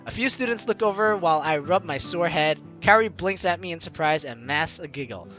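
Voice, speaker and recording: A man's voice: a little high, expressive, brisk, fairly bright tone, dull audio, soft background music. A man hosting a gaming video, playfully mixing jokey commentary with theatrical read-aloud narration.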